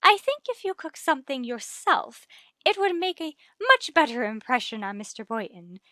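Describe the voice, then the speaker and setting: A gushing, bossy middle-aged wife who loves matchmaking. She speaks high and with swooping, theatrical emphasis, like old radio comedy. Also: big pitch swings, normal speed, bright, forceful tone, dry recording, clean studio sound, full character voice. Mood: eager, instructing. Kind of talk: 1940s-50s radio sitcom acting.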